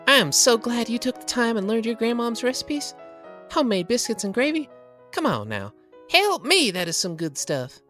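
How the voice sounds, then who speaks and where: A man voicing a loud, brash young woman who swears freely and talks with strong emphasis, as a storybook reader. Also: very high voice, big pitch swings, moderate pace, soft background music, voice pushed up, exaggerated voice. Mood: enthusiastic.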